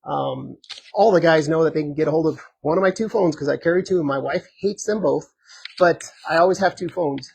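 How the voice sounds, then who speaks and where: Hoarse, gravelly man answering questions. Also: on the high side, a little fast, darkish tone, poor audio. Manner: earnest, conversational, many filler ums and restarts. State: hoarse, losing his voice. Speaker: sheriff candidate. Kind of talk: answering audience questions at a community meet and greet. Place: community meet and greet.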